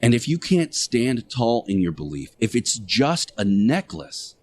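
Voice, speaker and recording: A man delivering a sermon-like radio monologue as a show host, speaking emphatically and preachily with deliberate rhetorical repetition. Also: mid-range voice, very animated, darkish tone, slightly rough.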